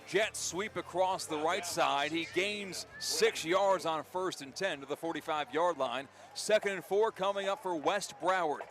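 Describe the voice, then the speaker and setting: High-voiced man calling live football play-by-play. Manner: energetic, vivid play-by-play delivery. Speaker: play-by-play sports announcer. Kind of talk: live radio football commentary.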